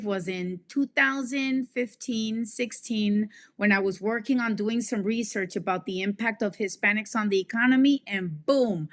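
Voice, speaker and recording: A woman's voice: on the high side, fairly bright tone. A woman hosting an interview podcast in a conversational, gushing and emphatic way. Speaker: podcast host.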